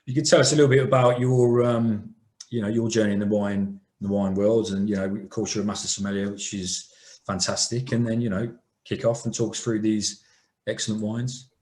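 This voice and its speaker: A man's voice: fairly low pitch, flat delivery, brisk, darkish tone, roomy sound. A man hosting a virtual wine tasting on a video call, introducing the guest. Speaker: host.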